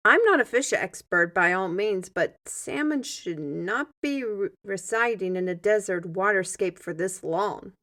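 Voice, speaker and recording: A woman's voice: mid-range voice, measured pace, hardly any pauses, fairly bright tone. A woman playing a scrappy, sardonic squire in a fantasy audio drama, with a wry and informal way of talking. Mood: wry, skeptical. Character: sardonic, scrappy female squire, quick to quip.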